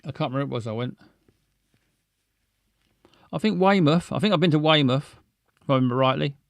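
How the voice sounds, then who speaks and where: A man's voice: a little high, fairly quick, vocal fry, no echo. Brief: stammering man in chatty livestream, close and dry. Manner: stammering, rambling, self-mocking. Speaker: livestream host. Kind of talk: casual chat with viewers.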